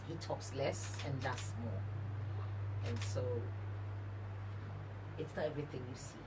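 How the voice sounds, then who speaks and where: Low-voiced woman, distant mic. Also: fairly even intonation, unhurried. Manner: laughs often, tells anecdotes with quoted dialogue. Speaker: gospel singer as interview guest. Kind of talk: candid, animated conversational storytelling. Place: radio studio. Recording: distant mic with audible background noise.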